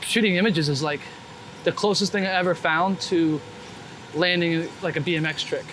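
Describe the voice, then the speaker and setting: A man's voice: fairly high pitch, fairly even intonation, normal speed, fairly bright tone. A man chatting casually and candidly in slangy, sweary talk while out walking on a city street. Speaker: guest, street photographer and former BMX vlogger. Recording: noisy city street with traffic. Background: clear traffic and street noise.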